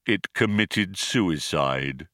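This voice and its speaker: A man voicing a gloomy, self-pitying robot in an audiobook, with a muffled, monotone drone and a slow, dejected, resigned delivery. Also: low-pitched, no echo, studio quality, voice pushed down, full character voice. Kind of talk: audiobook character voice.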